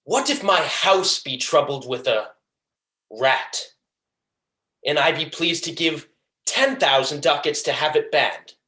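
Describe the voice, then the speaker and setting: A young man playing a proud, vengeful merchant villain in a Shakespearean stage monologue, declaiming deliberately and tauntingly. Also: on the high side, sharp tone. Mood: taunting, forceful. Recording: echoey room.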